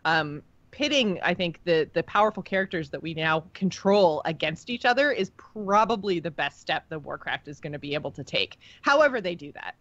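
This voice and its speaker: A woman's voice: lowish voice, lively intonation, brisk, few pauses, a bit bright, no echo, lo-fi sound. A woman co-hosting a casual gaming podcast, talking in a chatty way and explaining her points at length. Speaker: podcast co-host.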